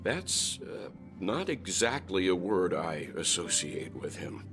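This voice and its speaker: A composed older gentleman speaks in a measured, reassuring way in a video game. His voice is very low with a gravelly rasp. His intonation still moves quite a bit. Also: big pitch swings, faint music behind, heavily acted. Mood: calm. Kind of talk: game dialogue.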